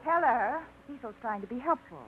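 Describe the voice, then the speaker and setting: A woman's voice: a little high, animated, normal speed, natural voice. An actress in a 1950s radio play, playing a sincere, modest single woman who speaks earnestly and plainly. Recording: muffled, noisy 1950s radio recording.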